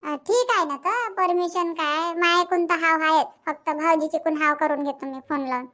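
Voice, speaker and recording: A woman's voice: extremely high pitch, moderate pace, sharp tone. A woman voicing a young, practical village mother in a cartoon comedy, chatty and colloquial, with a rural Vidarbha Marathi accent. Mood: agreeable, explaining.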